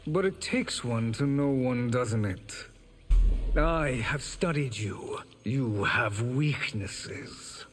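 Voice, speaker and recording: Casting: insinuating male villain for a video game, mid pitch, drawn-out delivery. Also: animated, faint music behind. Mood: sly. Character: sly, calculating male schemer. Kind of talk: fighting game character banter.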